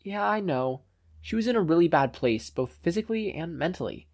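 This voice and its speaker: An earnest teenage boy from a superhero tale , acted by a man. His voice sits higher and carries remorse and sincerity. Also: lively intonation, fairly quick, darkish tone. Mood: remorseful.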